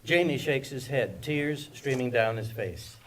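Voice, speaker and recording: A man's voice: medium pitch, lively intonation, a little slow, a bit bright. A man narrating scripted stage directions at a screenplay table read, picked up from a distance. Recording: distant mic with background noise.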